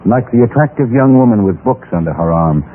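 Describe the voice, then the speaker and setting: An actor playing a gruff, respectable married real estate man who is easily exasperated, with clipped, grumbling delivery in the style of 1950s radio drama. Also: lowish voice, lively intonation, fairly quick, phone-quality sound, natural voice. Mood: grave, confiding. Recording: noisy, band-limited old radio broadcast.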